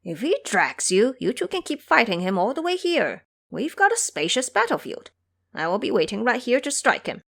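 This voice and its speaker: A woman's voice: a little high, sing-song, normal speed, gravelly, a bit bright, heavily acted. A woman voicing a bossy, brash male monkey hero in an audio drama, with a commanding manner. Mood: confident.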